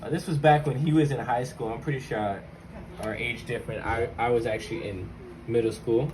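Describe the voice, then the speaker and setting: A man with a mid-pitched voice talks like a casual YouTube host, using slang and speaking right to the viewer. He moves between hands-on explaining and personal storytelling. The homemade recording carries clear background noise. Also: darkish tone, poor audio. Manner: informal, slangy, addresses viewers directly. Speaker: YouTube vlogger and channel host.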